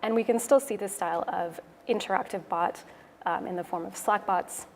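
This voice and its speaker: A Canadian woman delivers a prepared conference talk, explaining things in a measured way. Her mid-pitched voice rings through a reverberant hall, with some background noise around it. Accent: Canadian English. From Toronto, Canada. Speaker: conference speaker, web developer. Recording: echoey hall, noisy room ambience.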